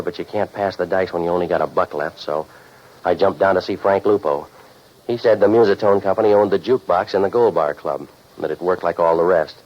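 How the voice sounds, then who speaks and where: An actor playing a cynical, deadpan private investigator in a scripted old-time radio detective drama. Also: male voice, low voice. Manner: deadpan, sardonic. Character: cynical hard-boiled private investigator, wisecracking loner. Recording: noisy muffled old radio recording.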